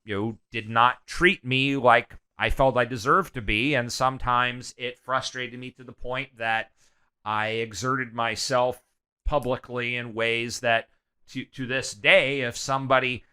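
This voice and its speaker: Bright, crisp-voiced man with a loud delivery. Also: mid-range voice, a little slow. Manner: loud, animated, hesitant with many fillers. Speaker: guest. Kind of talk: candid conversational interview.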